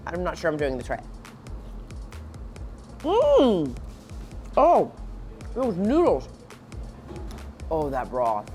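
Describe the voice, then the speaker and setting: A woman hosting a lifestyle show, reacting enthusiastically as she tastes food. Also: mid-range voice. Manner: enthusiastic, animated reactions while tasting. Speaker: TV host.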